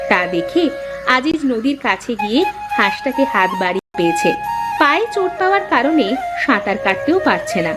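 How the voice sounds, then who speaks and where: Woman narrating a folk tale over music. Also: fairly high pitch. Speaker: story narrator. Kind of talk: scripted storybook narration. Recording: music clearly behind the voice.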